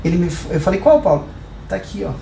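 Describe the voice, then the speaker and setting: A man with a southern Brazilian accent and a fairly high, very mellow voice talks through ideas on a podcast. He explains with examples and rhetorical questions, in a roomy, echoing space. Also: big pitch swings, quick pace. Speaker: podcast guest, hair professional. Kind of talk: conversational podcast discussion. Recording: roomy echo, clear background noise.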